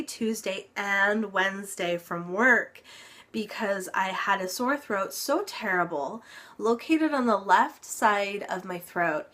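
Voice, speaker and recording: A woman's voice: mid-range voice, a bit bright. A young woman giving a chatty health update vlog, still congested from a cold, with plenty of ums. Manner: chatty, lots of ums, occasional laughs. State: congested, recovering from illness. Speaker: vlogger.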